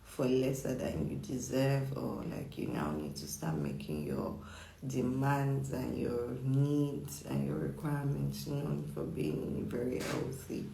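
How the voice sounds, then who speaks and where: A hoarse, croaky psychic chats through a weekly horoscope, repeating words and checking in with "okay?". The voice has a gravelly fry. It sits in a roomy, echoing space. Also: mid-range voice, a little slow, warm tone, slight background noise. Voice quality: husky, croaky. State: hoarse from just waking up. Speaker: psychic astrologer. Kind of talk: casual weekly horoscope reading. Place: indoor room with echo. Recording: echoey room.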